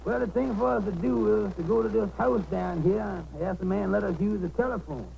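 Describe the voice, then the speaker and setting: An actor playing a humble, worried, polite taxicab driver with folksy ungrammatical speech in a 1930s radio comedy. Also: male voice, high-pitched, a little fast, gravelly, warm tone, muffled recording. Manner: folksy ungrammatical dialect, hesitant and deferential. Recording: noisy 1930s radio broadcast.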